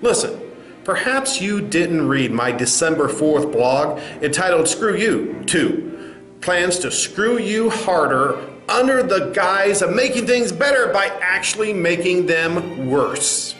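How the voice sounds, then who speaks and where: A man's voice: sits high, expressive, slow pace, a bit bright, exaggerated voice. A man playing a smug, condescending, evasive company executive in a comedy sketch. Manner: condescending, pompous. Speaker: narrator and sketch performer. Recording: roomy sound with music underneath.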